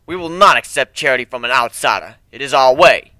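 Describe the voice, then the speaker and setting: Man voicing a proud, forceful growling panther chieftain. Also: medium pitch, animated, a little fast, no room sound. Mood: proud, resigned. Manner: formal, terse, growling.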